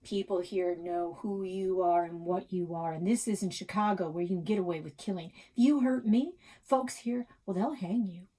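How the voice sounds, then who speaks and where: A woman's voice: lowish voice, normal speed, hardly any pauses, dark tone, lightly acted. A woman storyteller voicing a tiny, devout, fearless mother who speaks firmly and defiantly in a read-aloud story. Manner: firm, defiant, emphatic.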